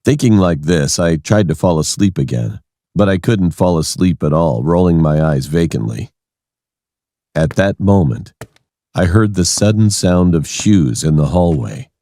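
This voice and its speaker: A man's voice: low-pitched, animated, a little slow, warm tone, no room sound, clean studio sound. A man reading a scripted first-person horror story as its narrator.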